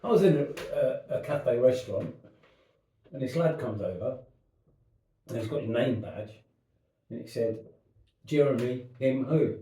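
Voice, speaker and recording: A man's voice: medium pitch, a little slow, pauses often, dark tone. Male co-host, dry and brief, far from the mic in a very echoey room. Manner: dry, laconic, brief replies. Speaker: podcast co-host. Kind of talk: casual chat. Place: dining room.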